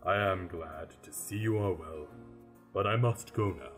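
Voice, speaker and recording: A grave, formal reaper acted by a man speaks slowly in a very deep voice. He is stern yet courteous, a radio play Death. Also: soft background music. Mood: patient. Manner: formal, measured, deliberate.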